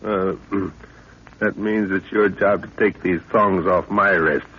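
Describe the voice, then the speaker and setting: An actor playing an older, confident jungle explorer, hearty, brisk and fatherly, in a 1930s radio adventure drama. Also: low voice, steady tone, husky, full character voice. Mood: hearty, assured. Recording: dull, hissy 1930s radio recording.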